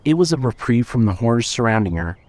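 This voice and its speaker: A man narrating scripted fiction as a storyteller, in a measured way. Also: mid-range voice, sing-song, a little mellow.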